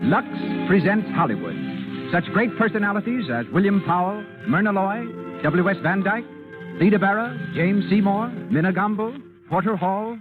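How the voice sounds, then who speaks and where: A man's voice: high voice, animated, normal speed. A man announcing a 1930s radio show in a formal, grand, declamatory style. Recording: vintage radio broadcast, narrow band, loud music.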